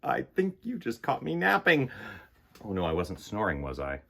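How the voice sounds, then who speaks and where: A man presenting children's storytime, reading and chatting in a playful, expressive way. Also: mid-range voice, normal speed, creaky. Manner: playful, expressive, dramatic shifts in volume. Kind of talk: animated picture-book read-aloud and casual chat.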